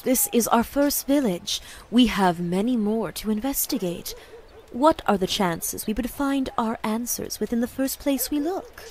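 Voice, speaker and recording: A woman voicing a reasonable, formal game character who speaks in a measured, persuasive way. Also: medium pitch, slight background noise, lightly acted. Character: reasonable, placating, formal member of a search party.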